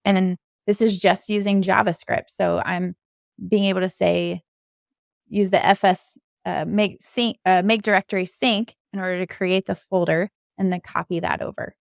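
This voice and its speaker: A woman's voice: medium pitch, steady tone, moderate pace, vocal fry, no room sound, clean studio sound. A woman giving a casual technical explanation in an informal, plain-spoken way. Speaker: podcast co-host and developer.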